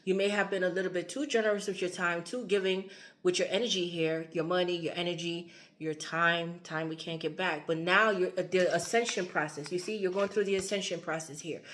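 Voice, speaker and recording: A woman's voice: lowish voice, steady tone, brisk, fairly bright tone. A woman giving a loose, rambling tarot reading, her voice a little strained as she starts to lose it. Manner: loose and repetitive, fillers and asides. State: losing her voice. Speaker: tarot reader.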